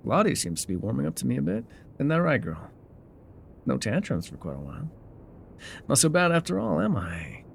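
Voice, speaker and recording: A man's voice: mid-range voice, wildly swooping pitch, a little fast, creaky, a little hiss or hum, full character voice. A man playing an enigmatic, wry guide through dangerous wilds in an intimate ASMR roleplay, musing, teasing and often trailing off. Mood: soft, playful.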